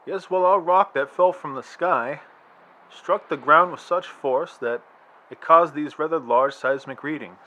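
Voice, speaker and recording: An actor playing a male astronomer who explains things in a measured, slightly hesitant way. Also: fairly high pitch, sharp tone, highs cut off. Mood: reassuring. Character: calm, reassuring male astronomer.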